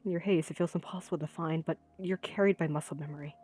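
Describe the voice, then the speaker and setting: A woman's voice: low-pitched, steady tone, fast talker, a little mellow, slightly rough. A woman reading visual novel narration aloud during a voiced playthrough. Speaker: gaming video creator reading aloud.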